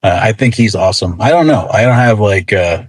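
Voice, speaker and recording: A man's voice: on the low side, animated, a little fast, no echo. A man speaking casually as an interview guest, rambling and self-deprecating. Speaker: interview guest, comic book writer.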